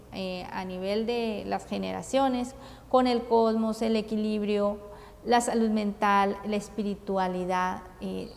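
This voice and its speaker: Guatemalan woman speaker, higher-pitched voice, unhurried and hesitant, in a reverberant hall. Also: gravelly, darkish tone. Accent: Guatemalan Spanish. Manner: measured, deliberate, frequent hesitations. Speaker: conference speaker. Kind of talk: formal conference talk. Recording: long reverb, faint ambient noise.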